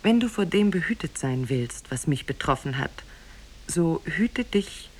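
A woman voicing a dying old sheikh who gives a slow, solemn, sorrowful warning in a 1950s radio tale. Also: sits low, expressive.

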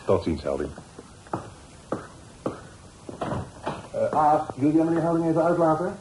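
An actor playing an earnest, secretive grown son, formal and composed, in a period radio drama. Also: male voice, a little high, very animated, fast talker. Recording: noisy old radio play recording.

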